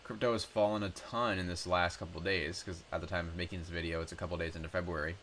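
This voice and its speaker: Twenties guy w a cold, raspy low voice, kinda bright, chatty w lots of ums, little hiss. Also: quick pace. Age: 20s. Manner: chatty, relaxed, with frequent ums and self-corrections. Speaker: personal finance YouTuber. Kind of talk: casual screen-share walkthrough.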